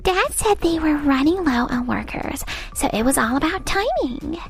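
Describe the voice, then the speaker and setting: A woman playing a smug, spoiled young saleswoman in an animated manga dub, with dramatic casual phrasing. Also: sits high, moderate pace. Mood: casual, uneasy.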